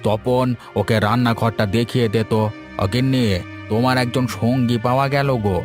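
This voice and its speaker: A man's voice: mid-range voice, fairly even intonation, moderate pace, faint music behind, natural voice. A man voicing a cautious elderly village innkeeper and father in a cartoon folk tale, folksy and firm. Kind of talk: animated folk tale voice acting.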